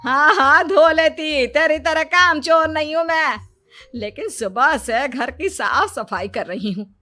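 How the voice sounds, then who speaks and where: Quarrelsome older cartoon mother, played by a woman: bright, forceful, self-pitying scold. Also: sits high, a little slow, soft background music. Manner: scolding, melodramatic. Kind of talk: Hindi cartoon dialogue.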